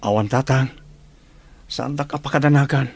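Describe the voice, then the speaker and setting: A man's voice: mid-range voice, normal speed, slightly rough. A man playing a modest, patient and friendly young teacher in an audio drama. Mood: troubled. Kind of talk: Ilocano audio drama acting.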